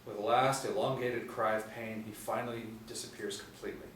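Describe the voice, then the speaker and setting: Low-voiced man narrating in a roomy space. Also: fairly even intonation, brisk, fairly bright tone. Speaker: narrator reading stage directions. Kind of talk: scripted narration. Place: small indoor room, seated. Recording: roomy, distant mic, faint hiss.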